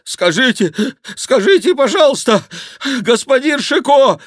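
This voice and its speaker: A fearful, aggrieved monk from an audiobook tale, crying out in a very high, strained voice. He whines and exclaims, a clumsy rider pleading loudly, with pitch that swoops about. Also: animated, slow, dry recording, clean studio sound, full character voice. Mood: breathless complaining. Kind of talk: audiobook character voice.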